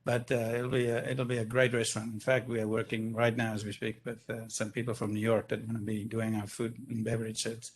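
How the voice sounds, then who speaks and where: A man's voice: mid-range voice, completely flat delivery, fast, few pauses, breathy edge. A man with a South African accent, speaking enthusiastically and at length at a microphone during a council meeting. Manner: enthusiastic, expansive, self-deprecating. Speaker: business owner presenting a project. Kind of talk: public comment at a council meeting. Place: council chamber. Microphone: speaking at a stand-up microphone.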